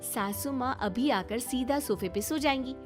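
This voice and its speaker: A woman voicing a young daughter-in-law in a family story, eager and expressive. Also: high voice, unhurried, over music. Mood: scheming, excited. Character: young scheming but caring daughter-in-law. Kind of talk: animated family story acting.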